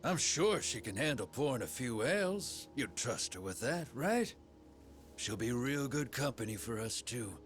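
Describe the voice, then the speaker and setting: A man's voice: medium pitch, very animated, measured pace, a bit bright. A sneering pirate villain from a video game. He is mockingly polite and leering, his mid-range voice swooping widely, with faint music behind. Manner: taunting, leering.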